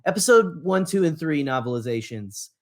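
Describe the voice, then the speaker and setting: A man co-hosting a podcast in casual fan discussion, talking in a rambling, halting way and often rephrasing mid-sentence. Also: on the high side, lively intonation. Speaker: podcast co-host.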